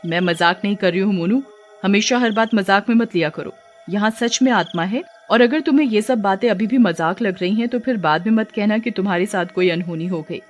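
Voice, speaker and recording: A woman's voice: mid-range voice, a little fast, faint music behind, close to an everyday voice. A woman voicing a bold, stern young woman in a Hindi audio story, speaking in earnest warnings.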